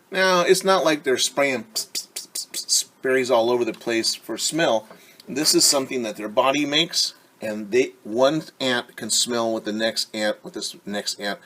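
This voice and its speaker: A man's voice: mid-range voice, fairly bright tone. A man teacher reading a picture book aloud to young children, explaining words, asking them questions and adding playful sound effects. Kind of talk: children's story time read-aloud.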